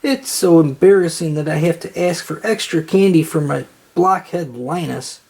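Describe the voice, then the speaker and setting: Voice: medium pitch, normal speed, warm tone, breathy edge. A storybook reader voicing a bossy, exasperated and scornful young girl who speaks emphatically. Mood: embarrassed.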